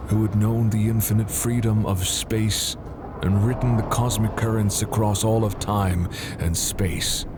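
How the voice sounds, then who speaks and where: A man giving solemn, dramatic scripted narration in a grave, measured manner. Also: on the low side, background noise.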